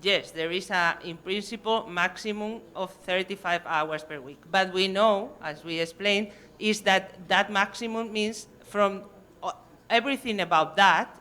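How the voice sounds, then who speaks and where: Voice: mid-range voice, sharp tone. A speaker at a university seminar who asks the panel questions in fluent, questioning English. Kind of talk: academic panel discussion.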